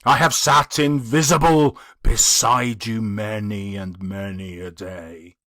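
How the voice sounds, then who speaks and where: Audiobook phantom played by a man: anguished dead businessman, declamatory and mournful, ringing forceful tone, very dry sound. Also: fairly low pitch, steady tone, dry recording, voice pushed down. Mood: urgent warning. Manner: declamatory, mournful, drawn-out delivery. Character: anguished ghost of a dead businessman, tormented and booming. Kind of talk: dramatic audiobook character reading.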